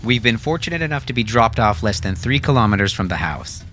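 A man voicing a brisk, businesslike military captain who gives clipped, authoritative orders in an audio drama. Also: on the low side, sing-song, fairly bright tone, a little hiss or hum, voice pushed up.

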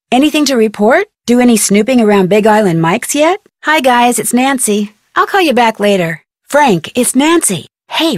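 A young American amateur detective in an adventure game. Her voice is fairly high and dry, with no room sound. She is plucky, inquisitive and conversational in her delivery. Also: dry recording. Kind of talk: scripted adventure game dialogue.

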